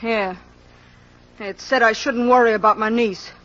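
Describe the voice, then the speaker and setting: An actress playing a hostile, drunken, greedy American aunt in an old radio crime drama, slangy and defensive. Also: female voice, on the high side, steady tone, unhurried, a bit bright. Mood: evasive. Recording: dull lo-fi old radio recording.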